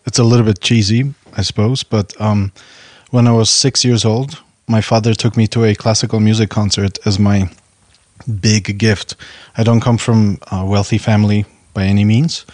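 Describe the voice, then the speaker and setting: A man from Poland with a lowish voice, the sort of musician guest heard reminiscing on a closely miked podcast. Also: steady tone, normal speed, darkish tone, dry recording. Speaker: orchestral violinist, podcast guest. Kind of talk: personal anecdote, conversational.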